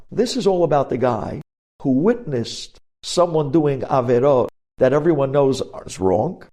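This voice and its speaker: A man's voice: on the high side, warm tone. A man speaking as a rabbi giving a lesson on religious law, emphatic, repeating key phrases and posing rhetorical questions.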